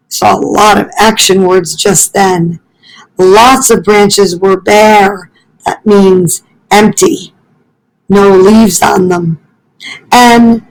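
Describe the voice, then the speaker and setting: A woman reads a children's story aloud at a very unhurried pace. She works as a school librarian and speaks carefully to young listeners, pausing the narration for short teaching asides. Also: mid-range voice, low-quality recording.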